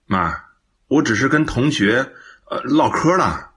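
A man's voice: medium pitch, animated, unhurried, fairly bright tone, husky. A man playing a nervous, evasive but dutiful son in a radio drama, speaking in a hesitant, flustered way. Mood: evasive, defensive.

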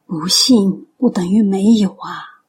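An actress playing a gentle, middle-aged believer in a radio drama, earnestly trying to persuade. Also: female voice, medium pitch, slow pace, husky. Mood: earnest persuasion.

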